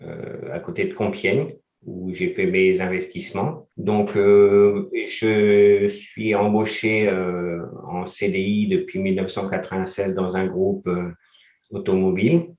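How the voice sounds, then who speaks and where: A man's voice: low-pitched, level tone, takes it slowly, a little mellow, roomy sound, lo-fi sound. A man in his forties from France, a guest in a casual interview, who talks hesitantly with many fillers. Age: 40s.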